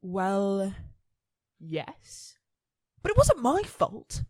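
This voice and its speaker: A woman's voice: medium pitch, huge pitch swings, drawn-out words, darkish tone, no room sound, clean studio sound, full character voice. A woman playing a haughty, impatient immortal entity in a radio play, scornful, sardonic and sweary. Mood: irritated.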